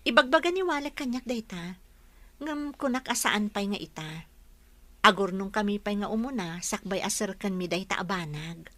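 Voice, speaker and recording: Woman playing a sincere young lady in love, bright clear-cut sound, audio drama lines. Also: mid-range voice, moderate pace, no echo, close to an everyday voice. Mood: happy, patient. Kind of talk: Filipino radio-style drama dialogue.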